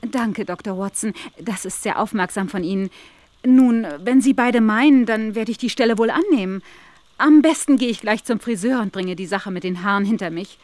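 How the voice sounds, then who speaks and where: A woman's voice: medium pitch, normal speed, a little mellow, no room sound, lightly acted. An actress playing an uneasy, earnest and polite young woman in a German radio play. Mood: polite, apologetic. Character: anxious, polite young woman seeking a position.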